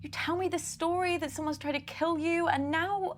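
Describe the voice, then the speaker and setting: A woman's voice: very high-pitched, fairly even intonation, normal speed, a little hiss or hum. A young woman acting as a worried, doubting niece in a naturalistic film drama, speaking hesitantly and earnestly. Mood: doubtful, hurt. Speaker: actor in interactive mystery film.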